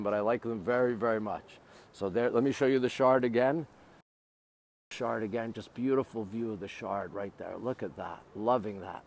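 A middle-aged man chatting casually to live-stream viewers outdoors, in short, repeated exclamatory phrases. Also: mid-range voice. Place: outdoors on city streets by a river.

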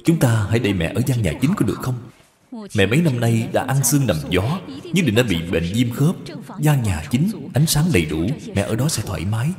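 A man voicing a gentle, dutiful young wife in a cartoon moral tale, speaking formally and persuasively. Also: medium pitch, big pitch swings, a little fast, lightly acted. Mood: earnest, caring. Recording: long reverb, music behind.

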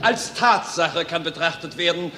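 An actor playing a self-assured, smug medical professor, lecturing formally in an old German radio play. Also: male voice, a little high, animated, unhurried. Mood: stiff, assured. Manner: formal, lecturing, stiffly assertive. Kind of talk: mid-20th-century German radio play acting. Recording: old radio recording with faint noise.

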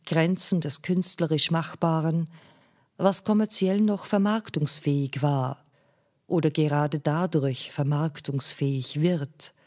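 A woman narrates a literary text in a low-pitched voice. She reads slowly and with measure, giving each phrase its own space. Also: darkish tone. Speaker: audiobook narrator.

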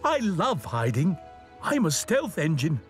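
A man's voice: fairly high pitch, wildly swooping pitch, a little slow, soft background music. A man voicing an eccentric, proud stealth engine in a children's story, with a secretive, boastful manner.

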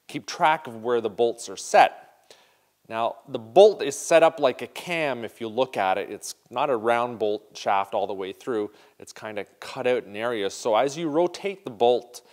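A man in his forties hosting a casual, upbeat how-to demonstration in an indoor garage workshop, talking straight to viewers on a lapel mic. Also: mid-range voice. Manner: upbeat, direct, talks to viewers as "you guys". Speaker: show host demonstrating snowmobile parts.

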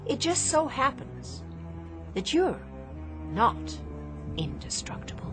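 A defiant, high-voiced grown daughter. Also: female voice, huge pitch swings, slow pace, over loud music, exaggerated voice. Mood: bitter, warning. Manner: impassioned, theatrical. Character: inventor's defiant, desperate grown daughter, bitter and pleading. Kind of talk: radio drama acting.

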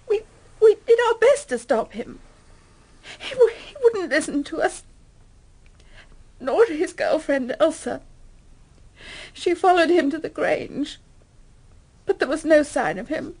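A distraught wife in a radio play, pitched high. She is halting and apologetic, stumbling over her words as she struggles to stay composed. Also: lively intonation, a little mellow. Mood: distressed. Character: shaken, distraught wife recounting a violent death. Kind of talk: radio drama acting.

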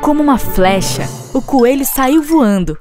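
A woman's voice: high voice, animated, measured pace. A woman giving a scripted storybook narration for children, as the narrator of a fable. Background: music behind the voice.

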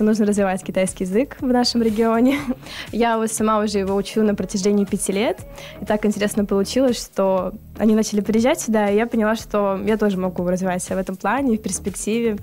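A woman's voice: medium pitch, dark tone. Cheerful young woman, studio guest. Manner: cheerful, laughing often. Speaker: guest, tourism project author. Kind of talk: studio interview. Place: radio studio. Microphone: studio mic on stand.

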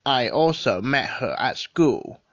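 A man playing a defensive gentleman suspect in an audio drama, formal and earnest. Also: mid-range voice, lively intonation, slow, dry recording, dull audio. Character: defensive, well-spoken gentleman murder suspect. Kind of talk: scripted audio drama acting.

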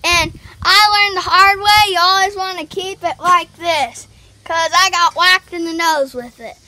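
A woman's voice: very high voice, measured pace, bright, forceful tone. A teenage girl giving a casual walking tour of her family farm outdoors, talking plainly and tying her points together with lots of "so". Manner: chatty, plain, many "so" fillers.

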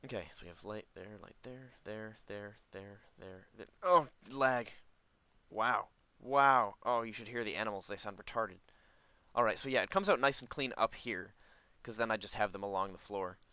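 A man's voice: fairly low pitch, normal speed, bright, forceful tone, smooth, no room sound. A man giving casual let's play commentary, rambling and thinking aloud as host of a gaming video.

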